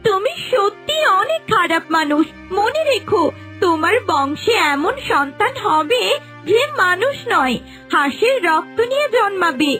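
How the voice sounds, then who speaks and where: A woman voices a wronged female duck in a cartoon fairy tale. The voice is high and slow, solemn and dramatic, over faint music. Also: very animated, sharp tone, heavily acted. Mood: anguished, accusing. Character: wronged dying female duck, solemnly cursing her killer.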